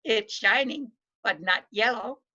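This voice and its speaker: Man as a deliberate, riddling village witch. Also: high voice, sharp tone, lo-fi sound, pitched above the performer's own voice, full character voice. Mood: testing. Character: riddling village witch, a stern testing old woman.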